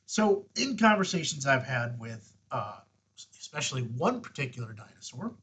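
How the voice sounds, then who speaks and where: A man with a mid-pitched, slightly husky voice talks through a hands-on tutorial. He is plain-spoken and folksy, chatting casually as the host of a hobby channel. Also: sing-song, normal speed, a bit bright.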